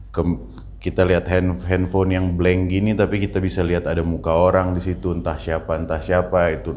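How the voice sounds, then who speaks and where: Male, 29, deep register, informal interview guest who repeats phrases as he explains; slightly noisy room. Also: a little mellow. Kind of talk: casual personal account.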